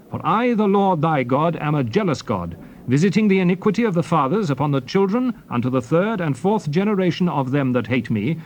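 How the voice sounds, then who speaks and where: An actor playing Moses as a grave, commanding old prophet in a dramatized scripture reading, declaiming with emphatic, swelling phrases. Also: male voice, on the high side. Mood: stern, commanding. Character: Moses, solemn commanding old prophet exhorting his people. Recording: faint background hiss on old cassette tape.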